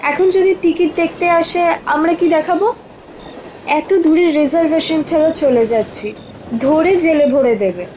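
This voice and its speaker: An actress playing a timid, fretful young college student in a horror audio drama. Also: female voice, high-pitched, unhurried, a little mellow, a little hiss or hum, poor audio. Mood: fretful, cross. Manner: uneasy, fretful.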